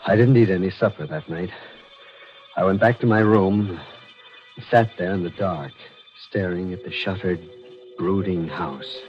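A man's voice: low voice, measured pace, pauses often. An actor playing an earnest, impulsive young man who narrates and acts in a 1940s American radio mystery with intense, emphatic drama. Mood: brooding, tense. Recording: muffled 1940s radio recording with music.

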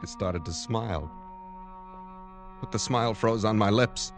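An actor playing a nervous, reclusive poet with an intense literary delivery in a 1940s radio drama. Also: male voice, fairly low pitch, measured pace, over loud music. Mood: unnerved, hushed. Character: nervous, reclusive male poet narrating his diary.

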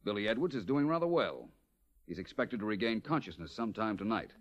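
An actor playing a suave, probing gentleman detective, polished and deliberate, in a 1940s radio drama. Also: on the low side, sing-song. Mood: brisk, pointed. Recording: dull old radio recording.

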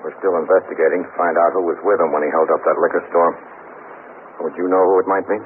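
A brisk, blunt detective lieutenant with a very deep voice who talks fast, as an actor plays him. It comes through a tinny, narrow old radio broadcast with clear hiss. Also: male voice, steady tone, natural voice. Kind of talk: 1950s radio drama acting.

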